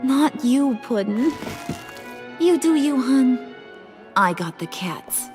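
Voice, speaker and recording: High-pitched, volatile, flirty villainess. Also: female voice, very animated, slow, over music, exaggerated voice. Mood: teasing. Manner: sing-song pet names, taunting. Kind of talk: video game voice acting.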